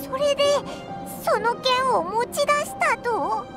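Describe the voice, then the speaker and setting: A tiny fairy sidekick from a video game, with an extremely high, childlike voice. The speech is blunt, cheeky and exclamatory, taken at an unhurried pace over prominent music. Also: female voice, animated, exaggerated voice. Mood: indignant, suspicious.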